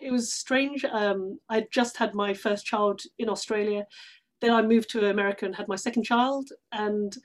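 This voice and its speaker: A middle-aged woman with a London accent talks as a guest on an interview show. Her voice sits a little high. She tells her stories in a casual, conversational way. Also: a little mellow. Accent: London English. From London, England. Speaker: interview guest, actress and theatre workshop director.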